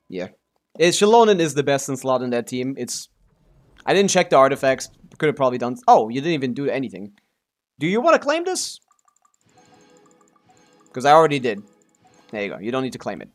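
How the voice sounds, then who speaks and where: A man giving casual live gameplay commentary as a streamer, offhand and sweary, full of gamer slang. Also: mid-range voice. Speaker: game streamer.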